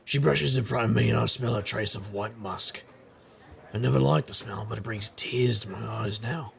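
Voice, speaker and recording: An actor playing a gruff, world-weary middle-aged private investigator in an audio drama, narrating with wry noir weariness in a gravelly voice. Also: male voice, lowish voice, normal speed. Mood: wistful, wry.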